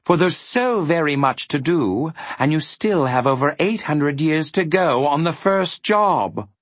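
Gloating, high-swooping storybook demon. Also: male voice, lively intonation, no echo. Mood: menacing. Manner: theatrical, drawn-out, swooping delivery. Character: villainous, gloating demon of petty tasks. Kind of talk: storybook character voice.

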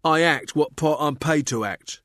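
A man voicing a scrawny, bitter court fool with a shrill London voice, mocking and taunting, in an audiobook. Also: a little slow, a bit bright, no echo, clean studio sound. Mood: jeering. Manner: mocking, jeering.

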